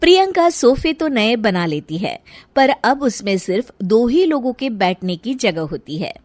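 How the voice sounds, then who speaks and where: High-voiced woman narrating a story. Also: very animated, unhurried, darkish tone, no room sound.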